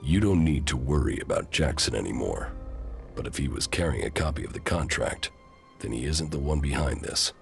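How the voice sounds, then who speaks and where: Gruff, curt warrior commander for a game; rock-bottom pitch, gravelly, clipped and flat delivery. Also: male voice, normal speed, faint music behind, heavily acted. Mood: matter-of-fact. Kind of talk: video game character dialogue.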